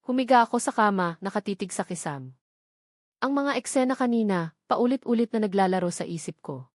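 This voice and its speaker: A woman tells a dramatic first-person story as a narrator. Her pitch sits in the middle for a woman, and the sound is dry, with very little room. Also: lively intonation, brisk, no room sound.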